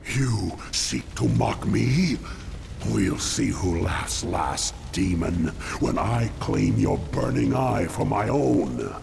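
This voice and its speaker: An actor playing a ruthless, power-hungry orc warlock in a video game, with a gravelly voice and contemptuous, grandiose delivery. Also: male voice, on the low side, big pitch swings, slow pace, noisy background, full character voice. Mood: bitter, defiant. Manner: contemptuous, grandiose villainous declaiming. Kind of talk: dramatic video game voice acting.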